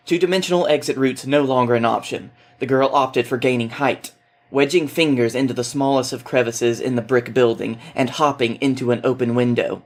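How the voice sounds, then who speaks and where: A man appearing as a podcast guest, talking casually and reading aloud. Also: medium pitch.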